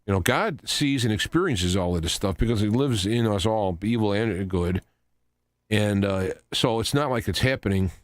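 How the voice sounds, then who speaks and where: A man hosting a radio talk show, giving an unscripted commentary in a halting, rambling way, often repeating and restarting his phrases. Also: on the low side, lively intonation, no echo, studio quality. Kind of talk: unscripted news commentary monologue.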